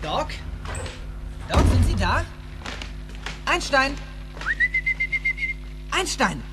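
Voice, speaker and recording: A man's voice: extremely high pitch, big pitch swings, drawn-out words, loud music behind the voice, heavily acted. A man playing an impulsive, insecure teenage schoolboy in a German radio play, with a youthful, exclaiming delivery. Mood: puzzled, searching.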